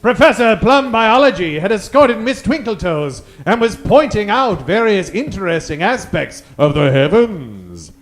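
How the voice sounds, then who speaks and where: A man's voice: sits high, sing-song, a little slow, hardly any pauses, exaggerated voice. A man narrating in a theatrical, suspenseful old-time radio serial style.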